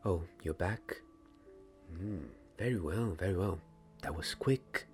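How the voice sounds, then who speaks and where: Man playing a deep, hushed, slow-talking wand maker. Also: faint music behind. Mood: curious, approving. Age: young adult. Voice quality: soft, breathy, partly whispered. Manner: slow, hesitant, deliberate. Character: gentle, patient male wand maker mentoring an apprentice. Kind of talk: ASMR roleplay. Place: small dim indoor room. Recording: clear background noise and ambience.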